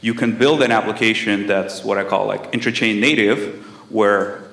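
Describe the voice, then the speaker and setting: Male panelist, low pitch, casual, roomy sound. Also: moderate pace, vocal fry, a bit bright. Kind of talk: conference panel discussion.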